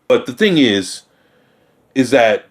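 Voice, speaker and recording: A man talks through a news piece in a loose, unscripted way, reading parts aloud and then cutting in with blunt, profane opinions. His voice sits at an ordinary middle pitch. He often trips over and restarts his sentences as he goes. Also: very animated, takes it slowly. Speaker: video commentator. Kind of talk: casual reaction rant reading news aloud.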